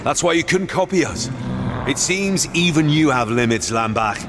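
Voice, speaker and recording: An actor playing a determined human hero who talks bluntly and wryly. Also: male voice, mid-range voice, lively intonation, normal speed, over music, lightly acted. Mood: defiant. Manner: blunt, wry, defiant. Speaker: game protagonist.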